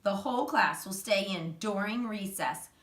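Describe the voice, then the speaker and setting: A woman's voice: mid-range voice, normal speed, a bit bright, close to an everyday voice. A woman voicing a strict, snappish female schoolteacher from a storybook, firm and commanding. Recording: roomy echo, distant microphone.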